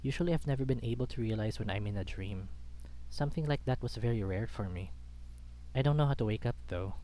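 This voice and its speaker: A man's voice: mid-range voice, quick pace, mellow, slight background noise. A man reading a first-person story aloud as a dramatic narrator. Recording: background music under the voice. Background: music.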